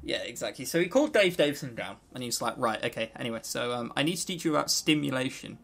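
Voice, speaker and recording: A man co-hosting a podcast, chatting casually about games and telling stories in an animated, jokey, sweary way. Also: medium pitch, quick pace, creaky. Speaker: podcast co-host.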